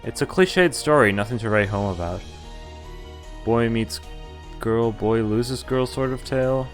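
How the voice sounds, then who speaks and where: A man's voice: mid-range voice, a little slow. A man streaming a game, reading its story aloud dramatically and breaking off into incredulous asides. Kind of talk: reading a visual novel aloud with spontaneous reactions. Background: game music.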